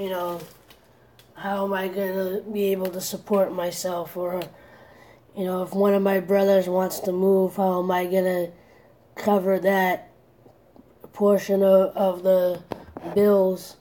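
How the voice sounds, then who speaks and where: Slow, soft-spoken woman in her forties. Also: medium pitch, a little hiss or hum. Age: 40s. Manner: hesitant, many restarts and "you know". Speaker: vlogger. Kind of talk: candid personal vlog.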